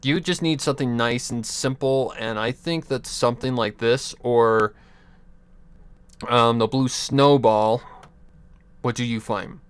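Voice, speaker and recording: A man's voice: mid-range voice, measured pace, smooth, no room sound. Young man, casual livestream chatter, rambling and swearing, dry close sound. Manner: rambling, self-correcting, swears casually, chuckles. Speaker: streamer. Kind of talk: casual livestream chat giving mic advice. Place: home computer desk. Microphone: USB desk mic.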